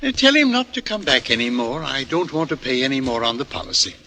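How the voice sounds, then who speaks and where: An actor playing a gentle, lonely old lodger in a 1940s radio drama, polite, hesitant and wordy. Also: male voice, mid-range voice, big pitch swings, fast talker. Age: older. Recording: noisy old radio broadcast recording.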